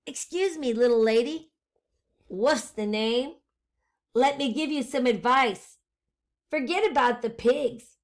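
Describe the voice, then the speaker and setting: A woman's voice: fairly high pitch, slow pace, long pauses. A woman voicing a skinny, starving male wolf from a storybook, sly and courteous with an ingratiating, folksy manner. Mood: sly, advising.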